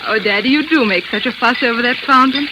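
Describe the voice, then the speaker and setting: An actress playing an outspoken, protective young daughter in an old radio drama, forthright in manner. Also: female voice, on the high side, fast talker, very noisy, natural voice. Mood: fond teasing. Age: young adult. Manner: indignant, forthright. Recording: muffled old radio recording.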